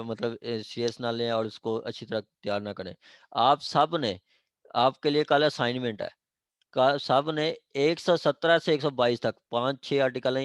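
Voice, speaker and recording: A man's voice: medium pitch, clear, resonant tone, no echo. Pakistani man lecturing on a dry close recording. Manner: didactic, frequent check-in questions to students. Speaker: law lecturer. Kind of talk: informal classroom law lecture mixing Urdu and English legal terms.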